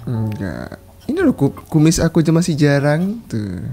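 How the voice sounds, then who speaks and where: A man's voice: a little high, huge pitch swings, moderate pace, vocal fry, dark tone, faint music behind. A young man in his twenties from Yogyakarta, chatting playfully and teasing strangers on a video call from his bedroom, laughing often. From Yogyakarta, Indonesia. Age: 20s. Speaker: video chat host. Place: small bedroom with posters. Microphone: webcam video call.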